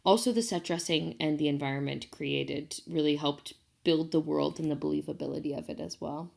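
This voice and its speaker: Lowish woman w heavy vocal fry giving an emphatic film review. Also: fairly even intonation, a little fast, a little mellow. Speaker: film festival audience member. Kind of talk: casual spoken film review.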